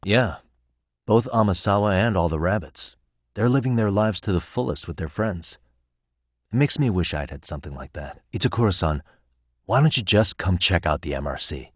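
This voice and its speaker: A man's voice: deep voice, expressive, normal speed, dry recording, studio quality. An actor playing a casual, wry and encouraging male detective who doubles as a school counselor, in an English video game dub, with a conversational delivery. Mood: earnest, gentle.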